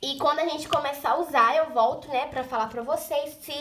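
A woman's voice: high-pitched, a little fast, a bit bright. A young woman from Brazil, chatting to her phone camera at home in a gushing vlog style and often calling out to her viewers, her nose stuffy from a cold. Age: young adult. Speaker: vlogger. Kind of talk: casual vlog chat to camera. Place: home rooms indoors. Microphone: phone camera at arm's length.

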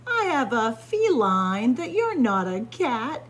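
A woman's voice: a little high, sing-song, slow pace, exaggerated voice. A woman voicing a clever, good-natured male rooster in a children's storybook, with a teasing manner. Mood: teasing, bright. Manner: punning, teasing, loud when crowing. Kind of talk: children's storybook character reading.

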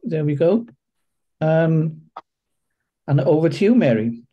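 A man in his sixties hosts a video call in a casual style. His voice is slightly high and very animated in pitch, heard through dull, lo-fi call audio. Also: takes it slowly. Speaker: meeting host.

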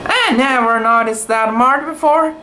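A young man voicing a haughty, excitable Chinese palace lady in a storybook, exclaiming theatrically. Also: very high-pitched, a little slow, slight background noise, pitched above the performer's own voice, exaggerated voice. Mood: amazed, excited.

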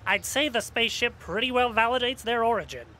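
An actor playing an excitable, money-minded member of a sci-fi fan crew in an audio drama. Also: medium pitch, brisk, close to an everyday voice. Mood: matter-of-fact.

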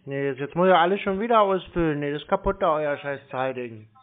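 A bumbling, naive man played by a male prankster on a tinny phone line. His voice sits a bit high, and he mutters to himself step by step, mispronouncing English words as he goes. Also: animated, brisk, natural voice. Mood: grumbling. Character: bumbling, naive man struggling with online payment. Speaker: prank caller playing a scam victim. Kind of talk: improvised prank phone call.

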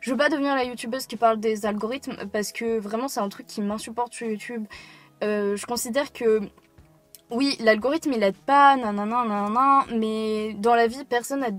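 A woman's voice: fairly high pitch, normal speed, fairly bright tone. An eighteen-year-old woman from France, talking casually to camera from a parked car, rambling with many fillers and restarts. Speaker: YouTuber. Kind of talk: casual talking-head vlog.

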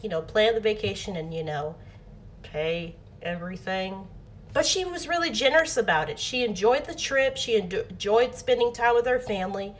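A young adult woman telling a casual storytime in her bedroom, rambling with many restarts and fillers. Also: medium pitch. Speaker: vlogger. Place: bedroom at home. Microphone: phone or webcam, close framing.

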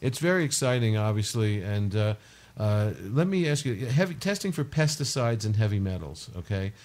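A man's voice: fairly low pitch, very animated, moderate pace, darkish tone. A man hosting a radio talk show from a home studio, asking questions with many fillers. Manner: filler-heavy, restarts questions. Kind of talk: interview questions.

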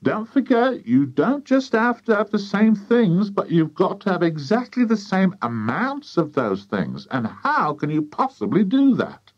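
A man voicing a sharp, scornful, nagging farmer's wife in a children's audiobook. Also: high-pitched, huge pitch swings, measured pace, hardly any pauses, muffled recording, pitched above the performer's own voice, heavily acted.

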